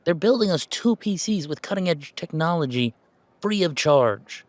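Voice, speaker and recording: A man's voice: a little high, animated, normal speed, no room sound, close to an everyday voice. A man playing an affectionate, anxious young gamer in a conversational audio roleplay, talking in a hesitant, rambling way. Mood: fond, rambling. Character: affectionate, anxious, self-deprecating young gamer, wary of family.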